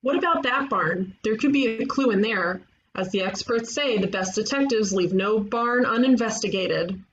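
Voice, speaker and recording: A woman's voice: medium pitch, moderate pace, natural voice. Muffled, noisy take of a woman playing a cocky young female cartoon sleuth, emphatic know-it-all. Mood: smug, cheerful. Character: Natalie, cocky excitable young female amateur detective. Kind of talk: animated comedy table read. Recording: muffled, clearly noisy home recording.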